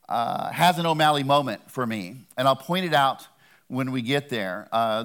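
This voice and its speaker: A man's voice: on the high side, a bit bright. A man giving a sermon as a guest preacher, talking in a conversational, earnest way with touches of humor.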